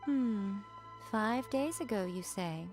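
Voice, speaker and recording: A teasing, aloof priestess from a video game. Her mid-pitched female voice is wry and languid, with playful condescension in the delivery. Music sits underneath. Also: animated, slow, a little mellow. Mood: skeptical. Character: sly, teasing, aloof shrine priestess lady.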